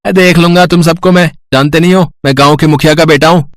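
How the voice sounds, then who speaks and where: A man's voice: a little high, level tone, brisk, no echo, clean studio sound, close to an everyday voice. A man voicing a proud, jealous young son of a village headman in a moral story. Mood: boastful. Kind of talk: acted moral story dialogue.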